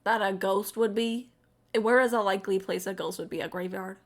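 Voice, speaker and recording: A woman's voice: mid-range voice, steady tone, brisk. A woman doing casual, sweary and sarcastic commentary while live streaming a game.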